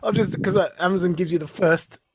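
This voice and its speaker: A man co-hosting a podcast in casual chat, excitable, laughing often and tripping over repeated words. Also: high-pitched, a little fast, breathy edge. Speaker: podcast co-host.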